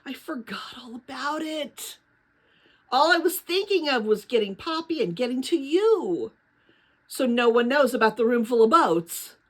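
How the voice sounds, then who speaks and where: A woman's voice: high-pitched, animated, measured pace. A woman voicing an earnest, anxious and determined young girl in a storybook, with an urgent, emphatic delivery. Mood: stricken.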